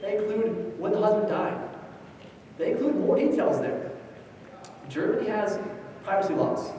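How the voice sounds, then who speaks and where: A man's voice: sits high, lively intonation, moderate pace, dark tone. A man presenting an informal instructional lecture at a conference, speaking conversationally in short, choppy phrases. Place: large echoey indoor room, live talk. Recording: echoey hall, distant mic, faint noise.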